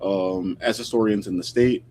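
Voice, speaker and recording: Low-pitched man, noisy recording. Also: steady tone, normal speed, darkish tone. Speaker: independent historian guest. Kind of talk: casual interview talk.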